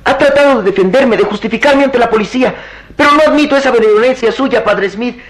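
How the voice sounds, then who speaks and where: Very high-voiced, tormented young doctor. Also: male voice. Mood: remorseful, resolute. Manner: halting, dramatic, anguished delivery. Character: guilt-ridden male doctor made youthful, tormented and earnest. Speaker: radio drama actor. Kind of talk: melodramatic radio drama acting.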